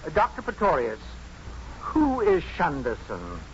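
Accusing, formal professor, 1950s radio play. Prosecutorial, lively intonation, faint noise. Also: male voice, a little high, unhurried. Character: pompous, accusing male professor, prying and indignant. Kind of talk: 1950s radio drama acting. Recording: muffled, noisy 1950s radio broadcast.

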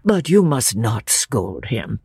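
A narrator voicing a frail, gentle, sorrowful old gentleman with a quavering, halting delivery, in a storybook reading. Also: mid-range voice, sing-song, gravelly, husky, no room sound, studio quality, voice pushed down, heavily acted. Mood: sorrowful, apologetic. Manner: slow, halting, sighing.

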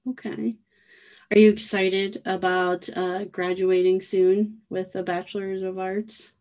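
A woman's voice: medium pitch, little pitch movement, takes it slowly, a little mellow. A woman hosting a podcast, thanking supporters and interviewing a guest in a friendly, encouraging way. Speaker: podcast host.

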